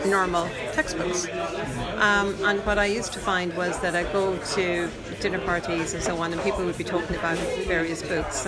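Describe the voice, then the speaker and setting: A woman chatting reflectively and a little hesitantly in an interview. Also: on the low side. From Ireland. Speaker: book group member being interviewed. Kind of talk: casual interview chat. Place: busy indoor pub. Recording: loud pub crowd and music behind.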